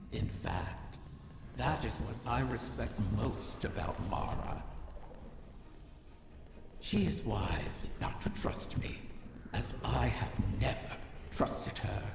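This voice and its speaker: An actor voicing an ancient, sly, menacing dragon from a video game, who bargains in slow, teasing, archaic phrases. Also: mid-range voice, creaky, exaggerated voice. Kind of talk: theatrical video game character dialogue. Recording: long cavernous reverb, faint ambient noise.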